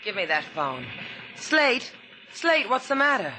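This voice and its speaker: Low, sultry, wry American woman adventurer. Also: very animated, a little slow, bright, forceful tone, heavily acted. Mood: anxious, urgent. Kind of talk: 1950s radio drama acting. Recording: faintly noisy old radio recording.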